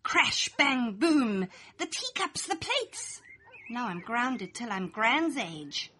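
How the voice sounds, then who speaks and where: A woman's voice: on the high side, very animated, takes it slowly, pushed and bright, a little hiss or hum. A young adult woman reading a rhyming children's picture book aloud in a playful, sing-song storytelling manner. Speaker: storybook reader. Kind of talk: expressive read-aloud children's story in rhyme.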